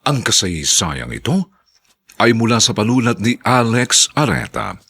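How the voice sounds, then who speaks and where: A Filipino man announcing a radio drama in a lowish, husky voice. He speaks slowly and solemnly, with huge theatrical swings in pitch. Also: big pitch swings, pushed and bright. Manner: solemn, theatrical, deliberate phrasing. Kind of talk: dramatic scripted radio narration.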